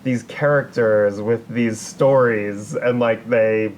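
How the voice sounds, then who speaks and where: A man co-hosting a podcast in loose, unscripted chat, jokey and thinking out loud. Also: lowish voice, steady tone, slow pace, slight background noise. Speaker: podcast co-host.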